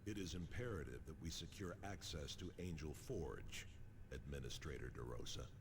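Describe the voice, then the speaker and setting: An actor playing a stern, resolute space marine commander in a video game, speaking tersely and formally. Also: male voice, extremely low pitch, moderate pace, noisy background. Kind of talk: terse scripted military game dialogue.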